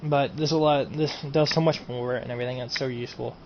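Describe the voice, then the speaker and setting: A man's voice: mid-range voice, fairly even intonation, quick pace. Male gaming streamer, casual and repetitive, mid-range voice on a dull lo-fi mic. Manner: rambling, repeats himself, trails off with "and everything". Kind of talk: casual mod showcase. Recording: dull lo-fi home recording, faint noise.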